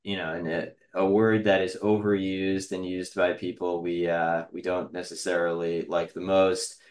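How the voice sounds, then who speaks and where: Halting deep-voiced man in an echoey room. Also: little pitch movement, darkish tone. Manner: halting, hesitant, reflective with frequent pauses. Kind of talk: casual podcast chat. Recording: roomy, echoing sound.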